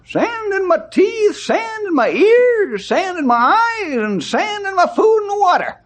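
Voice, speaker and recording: A man's voice: extremely high pitch, very animated, unhurried, heavily acted. A man playing a crotchety old cowboy sidekick in an old radio western, with a folksy, grumbling way of talking. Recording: old radio drama recording.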